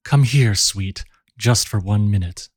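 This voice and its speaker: Brief: male narrator as a fervent young lover, low and pleading, dry close recording. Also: measured pace, dry recording. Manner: pleading, intense. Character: passionate young man, eager sailor lover.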